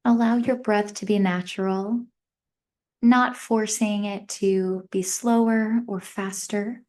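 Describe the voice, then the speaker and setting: A woman meditation instructor speaks at a very slow pace in a mid-range voice. She guides listeners gently, giving short, measured instructions and leaving long pauses between phrases. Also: mid-range voice, fairly even intonation.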